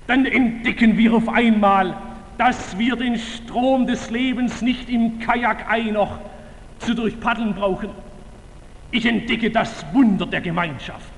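A man preaching a sermon to a large audience, slow and emphatic with fervent declamation and wry humor. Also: extremely high pitch, slight background noise. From Württemberg, Germany. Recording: reverberant hall, faint ambience.

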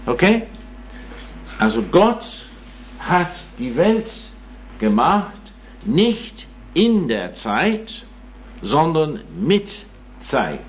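A man's voice: a little high, big pitch swings, very slow, pauses often. A man in his nineties giving an informal lecture, rambling and self-mocking, with many hesitations and tag questions. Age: 90s. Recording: dull recording with faint hum.

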